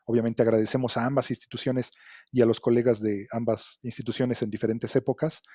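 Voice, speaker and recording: Middle-aged male lecturer, dark mellow tone, deliberate explanatory delivery, dry sound. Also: medium pitch, flat delivery, dry recording. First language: Spanish. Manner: measured, explanatory, with frequent fillers and "¿no?" tags. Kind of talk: academic lecture.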